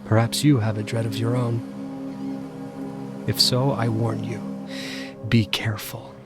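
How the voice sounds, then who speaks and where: An actor playing a haunted, obsessive man who narrates his supernatural terror in an intense, halting audio drama delivery. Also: mid-range voice, flat delivery, natural voice. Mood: haunted, subdued. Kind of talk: audio drama first-person horror narration. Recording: loud music under the voice. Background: dramatic music.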